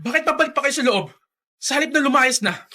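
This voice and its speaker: An actor playing a bitter, furious adult son in a Filipino radio drama, shouting with scorn and overwrought emotion. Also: male voice, very high-pitched, animated, fast talker, exaggerated voice. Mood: scornful.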